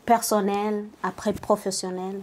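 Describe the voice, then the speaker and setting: A woman hosting a talk show interview, welcoming her guest slowly and with frequent hesitations. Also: medium pitch, little pitch movement, darkish tone. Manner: hesitant, many filler sounds, slow emphatic intro.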